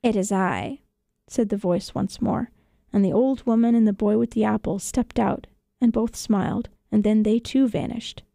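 A woman's voice: medium pitch, steady tone, normal speed, creaky, dark tone, no room sound. A woman reading a children's story as a scripted narration, recorded in a radio studio.

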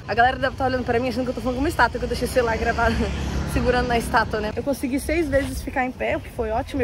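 Young woman, Brazilian Portuguese accent, high voice, very quick, outdoor phone recording, traffic noise behind. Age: young adult. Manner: chatty, joking, self-mocking asides. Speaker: travel vlogger. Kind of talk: casual travel vlog to camera. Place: outdoors on tropical streets and a beach club. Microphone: phone camera held at arm's length.

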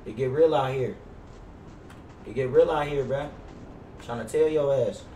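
A man's voice: mid-range voice, moderate pace, recorded from a distance. A young American man talks in loose, slangy, swearing chatter as he streams a roleplay game. His voice sits in a roomy space, a little away from the mic. A faint layer of noise runs underneath. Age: young adult. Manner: slangy, swearing, animated street talk. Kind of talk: gaming livestream roleplay, casual slangy chat. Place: indoor room at gaming chair.

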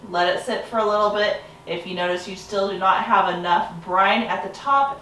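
A woman's voice: mid-range voice, a bit bright. A woman in her thirties giving a friendly step-by-step cooking demonstration in her home kitchen, filmed by a camera across the counter. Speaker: homesteading vlogger. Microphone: camera mic from across a counter. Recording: roomy sound from a far mic.

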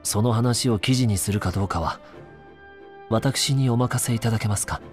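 An actor playing a courteous, inquisitive newspaper reporter in a Japanese video game, a man with a measured way of talking. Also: lowish voice, soft background music, natural voice. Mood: courteous, attentive. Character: courteous, probing young newspaper reporter interviewing royalty.

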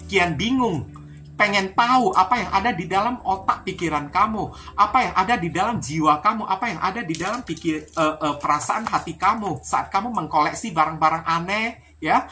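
Bright-voiced, low-pitched woman reading tarot. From Indonesia. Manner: chatty, repetitive, addresses viewers often. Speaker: tarot reader. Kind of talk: informal card-reading talk to viewers. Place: indoors at a card table. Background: music.

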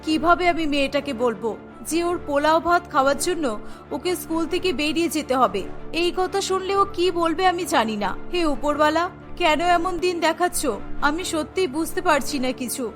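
A woman's voice: sits high, expressive, moderate pace, soft background music. A woman voicing a poor, anxious working mother in a cartoon, humble and worried. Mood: despairing. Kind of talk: cartoon dialogue.

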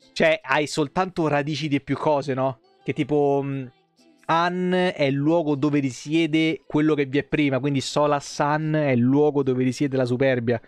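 Higher-voiced man streaming a game. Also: no room sound. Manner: irreverent, sweary. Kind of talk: live game commentary, reading lore aloud with casual asides. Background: game music.

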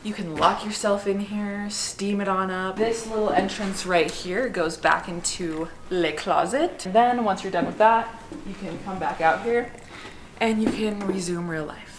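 A woman's voice: mid-range voice, measured pace, a little hiss or hum. A young woman in her twenties giving a casual, chatty walkthrough of her half-built house, cheeky and profane. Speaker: vlogger giving a house tour. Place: unfinished house under construction, indoors. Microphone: small clip-on mic. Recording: echoey framed-out rooms with music behind.

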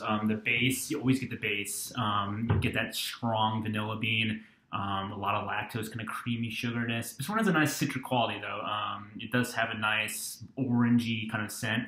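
Young man, twenties, low-ish voice, easygoing rambler with filler words, webcam in a roomy-sounding small room. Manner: relaxed, rambling, many ums and restarts. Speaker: beer reviewer. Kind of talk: casual beer review vlog. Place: small dorm-like room indoors.

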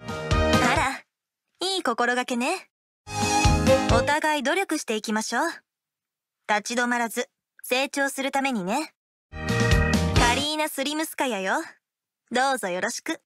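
A woman's voice: high-pitched, lively intonation, unhurried, long pauses, over loud music. A woman playing a poised, self-disciplined young female athlete in a mobile game, cool but kind, with composed, ladylike delivery.

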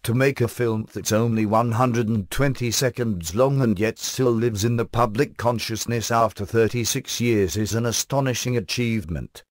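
A man's voice: medium pitch, moderate pace, hardly any pauses, no echo. A British man narrating a scripted comic review as the host, in a sardonic, dry-humoured manner.

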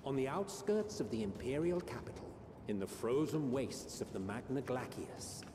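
A man's voice: mid-range voice, animated, normal speed, music in the background, natural voice. A man acting as a solemn storyteller, giving dramatic scripted narration of a fantasy journey. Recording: roomy long reverb over noisy ambience.

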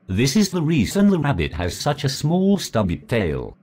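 A man reading a folk legend aloud as a storyteller, in a measured, deliberate way. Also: medium pitch, wildly swooping pitch, a little mellow, husky.

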